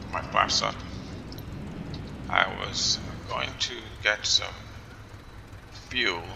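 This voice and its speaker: A man's voice: deep voice, creaky, pushed and bright, a little hiss or hum, poor audio. A man with a New Jersey accent giving casual commentary while playing a flight sim, musing aloud and slipping into whispers. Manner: musing aloud, reading instructions slowly, often drops to a whisper.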